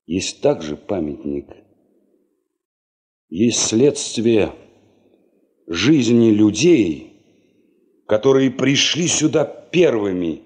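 An actor narrating a 1970s Soviet radio drama as a solemn storyteller, measured and reflective. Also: male voice, low-pitched, darkish tone, exaggerated voice.

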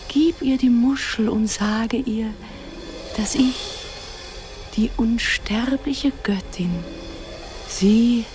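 An actress playing a grieving, noble and tender immortal nymph in a solemn poetic radio drama, breathy and halting, with a tendency to sink toward whispers. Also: female voice, mid-range voice, darkish tone. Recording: music behind the voice.

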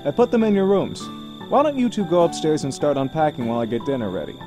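An actor voicing a genial, playful youngish uncle in a visual novel. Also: male voice, on the high side, sing-song, quick pace, music in the background. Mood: warm.

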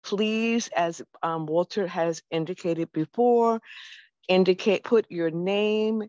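Brisk woman on dull call audio. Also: on the low side, a little mellow, no echo. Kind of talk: meeting remarks on a video call.

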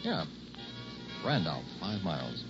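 An actor playing a confident, teasing newlywed husband, breezy and soothing, in an early sixties radio drama. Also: male voice, low voice, huge pitch swings, slow, full character voice. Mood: breezy, reassuring. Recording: dull old radio recording, music behind.

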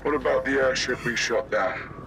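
A man's voice: medium pitch, moderate pace, gravelly, very noisy, natural voice. Menacing enemy commander, acted by a man. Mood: cold menace. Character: cold ruthless enemy military commander. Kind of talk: video game cutscene acting.

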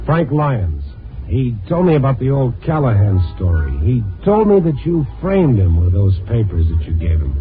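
A man's voice: medium pitch, sing-song, normal speed, soft background music, close to an everyday voice. A man acting a world-weary radio noir reporter, tinny. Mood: hard, insistent. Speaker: narrator and lead actor. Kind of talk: 1950s radio noir acting, first-person narration. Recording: tinny band-limited old radio recording.

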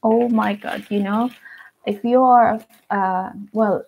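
A woman's voice: medium pitch, fairly even intonation, slow, gravelly, heavy background noise. A Filipino woman in her thirties with a Filipino accent, chatting casually on a live unboxing stream, counting snacks aloud and greeting viewers by name. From Philippines. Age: 30s. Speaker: vlogger. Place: living room at home, indoors. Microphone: wired earphone mic on webcam.

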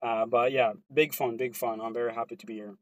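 A man's voice: medium pitch, little pitch movement, a little fast, no echo. A man from Sweden with a Swedish accent, chatting casually with viewers on a live stream from inside his car, laid-back and slangy.

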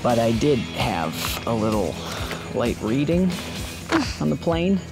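An actor playing a young, smooth, smitten 1990s teen heartthrob, pausing between words. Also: male voice, mid-range voice, sing-song, full character voice, poor audio. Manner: drawn-out, pausing. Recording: music behind.

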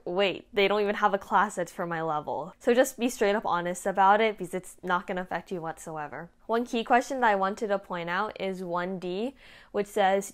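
A teenage female vlogger talks informally to her peers in a mid-pitched voice. She is frank and conversational, explaining tips one by one like an older student helping younger ones. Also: clean tone. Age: late teens, high school student. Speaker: vlogger giving application tips. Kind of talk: casual advice talk to camera. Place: small indoor room at home. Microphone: clip-on lapel mic.

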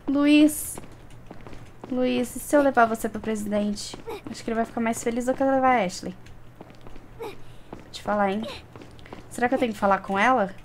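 A woman's voice: fairly high pitch, moderate pace, pauses often, slight background noise. A young woman with a Brazilian accent giving casual, playful, chatty commentary while playing a video game. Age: young adult. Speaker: gaming streamer. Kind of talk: casual let's play commentary. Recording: game sound clearly behind voice.

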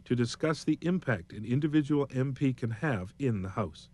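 A man narrating a documentary in a measured, explanatory way, reading a script. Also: mid-range voice, expressive, darkish tone.

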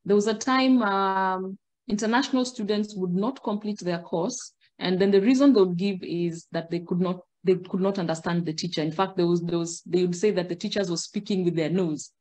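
A middle-aged Kenyan woman on a video call, explaining in a measured way and gesturing as she talks. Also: medium pitch, a little mellow. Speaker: guest, migration agent. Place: indoor room.

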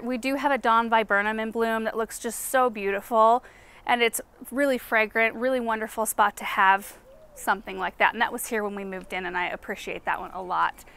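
A woman in her thirties talks enthusiastically as she walks a garden tour outdoors. Her voice is bright and smooth, a touch higher than average. She sounds relaxed and conversational, pointing things out as she goes. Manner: chatty and enthusiastic, walking and pointing at plants. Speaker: garden show host. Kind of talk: casual garden tour vlog.

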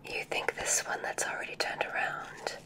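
A woman whispering an ASMR roleplay as a kindly witch examiner, giving gentle, reassuring instructions in a soft, unhurried way. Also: low-quality recording. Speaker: ASMR performer in a witch medical exam roleplay.

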